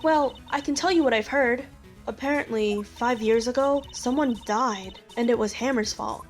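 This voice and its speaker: A woman's voice: a little high, normal speed, soft background music, natural voice. A woman playing a young female studio assistant in a video game fandub, explaining things in a matter-of-fact, gossipy way. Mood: confiding.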